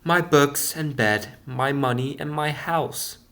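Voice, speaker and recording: A man voicing a small, meek wooden toy person in a storybook, with a quiet, hesitant small voice. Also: slow. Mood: subdued. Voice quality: small, higher voice.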